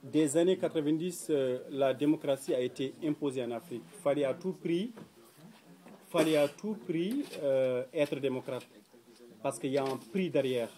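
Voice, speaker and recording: Young guy from mauritania at a round table, warm low-key voice, lots of uh pauses, small room, camera a bit away. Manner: measured, hesitant with frequent fillers, earnest. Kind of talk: public talk at a round table. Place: small indoor room with pale walls.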